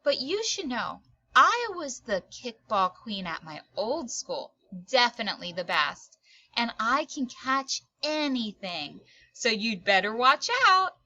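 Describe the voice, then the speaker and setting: A woman's voice: fairly high pitch, very animated, slow pace, pushed and bright, dry recording, highs cut off, full character voice. A woman voicing a confident, proud new girl in a storybook, boastful and challenging in manner.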